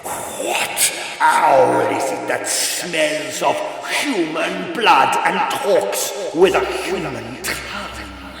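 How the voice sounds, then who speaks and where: Huge, slow, echoing monster. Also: male voice, fairly high pitch, animated, gravelly, a bit bright, full character voice. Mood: menacing suspicion. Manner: bellowing, slow drawn-out menacing delivery. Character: huge male man-eating monster, menacing and enraged. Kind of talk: dramatic children's audiobook character voice. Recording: cavernous echo, distant from mic.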